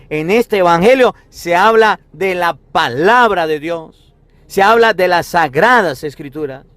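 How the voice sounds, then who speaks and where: High-pitched male preacher in echoey room. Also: a bit bright. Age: 30s. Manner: emphatic, repetitive, with rhetorical questions. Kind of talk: sermon on the Gospel.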